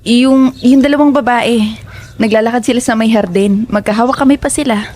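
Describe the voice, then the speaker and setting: A woman's voice: on the high side, brisk, darkish tone, close to an everyday voice. A woman playing a carefree, teasing young tenant in a horror audio drama, with a light, playful manner. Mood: dreamy, amused.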